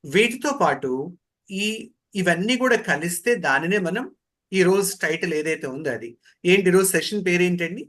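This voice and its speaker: A man with a slightly high, very bright voice teaches as a trainer. He speaks in an instructive lecture style, putting questions to his listeners and repeating the key phrases. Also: moderate pace. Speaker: voice modulation trainer.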